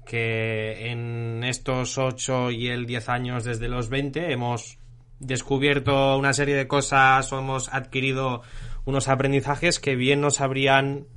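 A Spanish man in his late twenties with a bright, clear tone. He talks in a relaxed, thoughtful way as co-host of a casual podcast and now and then restarts a word mid-sentence. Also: mid-range voice. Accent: Spain. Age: 20s. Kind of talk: casual chat. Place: attic room at his parents' house.

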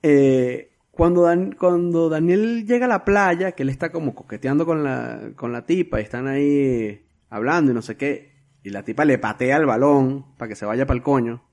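A man's voice: medium pitch, normal speed, a little mellow. A man, co-hosting a casual film podcast, retelling scenes with slang, cursing and frequent restarts. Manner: storytelling, swearing freely, many restarts. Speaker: podcast co-host.

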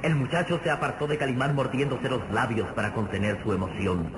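A man's voice: medium pitch, steady tone, a little fast, natural voice. A man narrating an adventure radio serial in a formal, emphatic, suspenseful style. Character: grave, vivid narrator of a heroic adventure serial. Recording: noisy old radio serial recording.

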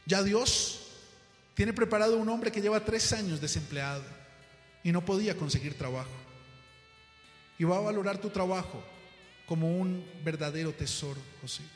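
A man voicing a calm heavenly messenger in a told parable, solemn, soothing and unhurried. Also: high voice, natural voice. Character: calm heavenly messenger voice come to take José. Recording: echoey hall, music behind.

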